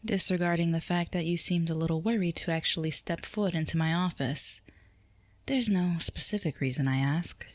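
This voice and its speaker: Woman playing female boss, low pitch, flirty, composed, formal, unhurried teasing delivery, ASMR roleplay, dry sound. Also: no echo, lightly acted. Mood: flirty, inviting. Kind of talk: scripted ASMR roleplay addressed to the listener.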